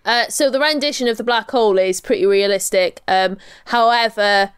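Clear-voiced young Welsh woman chatting. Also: mid-range voice, normal speed, dry recording, clean studio sound. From Wales. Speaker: podcast co-host and astrophysics researcher. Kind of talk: casual chat.

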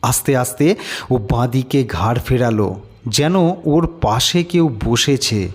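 A man narrating a scripted horror story in a dramatic, measured, suspenseful way. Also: mid-range voice.

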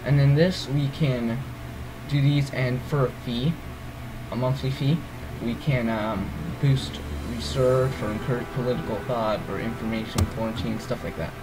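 A man's voice: mid-range voice, fairly even intonation, normal speed, music in the background, low-quality recording. A man with a groggy voice walking through a strategy game's menus, hesitant and rambling, full of ums and self-corrections. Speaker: let's play gamer. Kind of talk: casual gameplay walkthrough.

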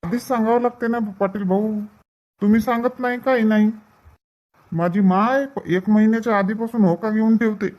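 A man's voice: sits high, steady tone, normal speed, lightly acted. Casting: male actor as grumbling cartoon farmhand, rural Vidarbha Marathi accent, drawling village banter, dull lo-fi recording. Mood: grumbling, defensive. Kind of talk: comedic cartoon dialogue. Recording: dull, muffled lo-fi audio.

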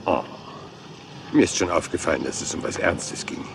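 A man's voice: low-pitched, moderate pace, creaky, noisy background. A man playing a rumpled, polite police inspector who talks hesitantly and deferentially, calling everyone sir, in a dubbed crime series. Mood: mildly suspicious.

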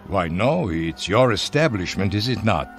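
An actor playing a hesitant, polite old man in a radio drama, speaking in a formal, mild-mannered, halting way. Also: on the low side, expressive, soft background music. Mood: timid, polite. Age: older.

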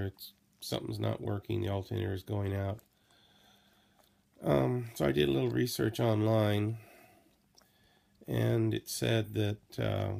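A hoarse, tired middle-aged man rambling slowly in a late-night video diary, coughing now and then, recorded close on a laptop camera in a small room. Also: fairly low pitch, a little mellow. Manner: slow, tired, rambling with many fillers. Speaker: vlogger. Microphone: laptop or phone camera close to face.

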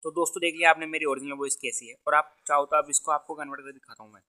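A man's voice: on the high side, steady tone, very fast, sharp tone. A young man presenting a tech tutorial as an online video host. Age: young adult. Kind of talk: tech tutorial to camera and screen.

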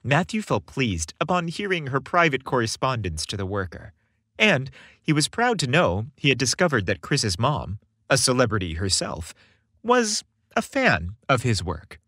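A man's voice: mid-range voice, wildly swooping pitch, normal speed, pushed and bright, husky, no echo, clean studio sound. A man reading a scripted story as a narrator, with dramatic storytelling delivery. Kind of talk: scripted audiobook-style narration.

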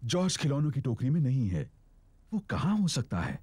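A man's voice: mid-range voice, huge pitch swings, moderate pace, background noise. A man narrating a children's cartoon in a scripted storytelling style.

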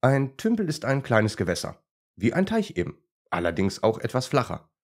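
A man's voice: mid-range voice, huge pitch swings, normal speed, voice pushed up. A man voicing a friendly male German shepherd who plays teacher in a children's story, polite and instructive. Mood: mock teacherly.

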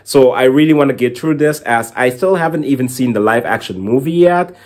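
A man's voice: mid-range voice, a little fast. A young adult man from the Caribbean hosting a book channel, talking casually to camera in a rambling, filler-heavy way. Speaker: book reviewer and channel host. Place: small indoor room at home with bookshelf.

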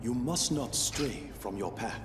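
An actor playing a noble, earnest samurai in an animated game, speaking in measured, formal phrases. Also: male voice, medium pitch, roomy sound, in a large hall, natural voice. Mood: solemn. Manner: measured, solemn, formal diction. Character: noble, earnest samurai man, calm and resolute. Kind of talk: dramatic animated game voice acting. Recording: music behind the voice.

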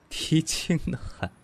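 An actor playing a composed, shrewd, gently teasing businessman in an audio drama, speaking calmly and dryly. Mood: amused. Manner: calm, concise, dry. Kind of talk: Chinese audio drama acting.

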